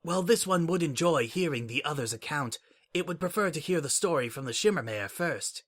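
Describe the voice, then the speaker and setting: A man voicing a calm, inscrutable changeling assassin in an audiobook-style reading, speaking in a level, formal, measured way. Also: fairly high pitch, voice pushed up.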